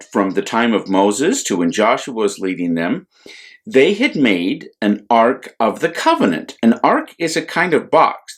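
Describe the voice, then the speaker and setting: An American man, a priest, telling a Bible story to children in simple words with deliberate repetition for emphasis. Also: fairly low pitch. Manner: simple, deliberate explanations with repeated phrases for emphasis.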